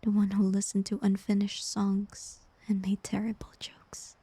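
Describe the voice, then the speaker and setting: Girl doing a sad tender bard for fantasy romance asmr, super breathy and whispery, slow and wistful. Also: medium pitch, monotone, no echo. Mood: longing. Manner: slow, gentle, wistful delivery with pauses. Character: tender, heartbroken woman bard facing her former lover. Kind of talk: intimate ASMR romantic fantasy audio roleplay.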